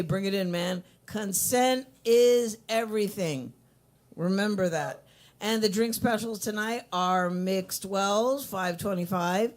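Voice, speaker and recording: Woman host, low voice, hype emcee with a playful streak, live venue mic. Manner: playful, teasing, hyped-up announcing. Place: coffee shop with a bar, live event.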